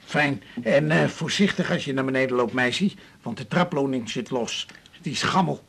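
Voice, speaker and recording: Folksy, creaky-voiced old patient. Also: male voice, medium pitch, normal speed, fairly bright tone, a little hiss or hum. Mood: fond. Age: older. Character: chatty, old-fashioned, kindly elderly male patient. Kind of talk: radio drama acting.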